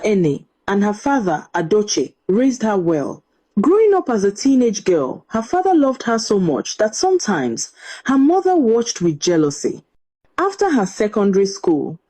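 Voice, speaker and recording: A woman storyteller narrates a scripted folktale. Her voice is mellow and sits at a medium pitch, and it rises and falls in a lively, dramatic way.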